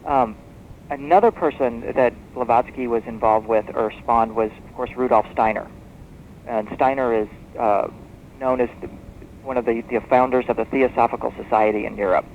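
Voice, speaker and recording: A man speaking as an expert guest in a radio interview, explaining history as a story in a measured, discursive way. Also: medium pitch, fairly even intonation. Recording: muffled noisy shortwave radio tape.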